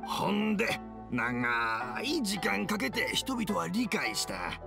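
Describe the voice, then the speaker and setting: Elderly anime game father: Kansai accent, hearty, rambling, creaky, music underneath. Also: high voice, huge pitch swings, exaggerated voice. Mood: storytelling. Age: older. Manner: hearty, rambling, slow to catch on. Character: genial, hard-of-hearing old fireworks craftsman father.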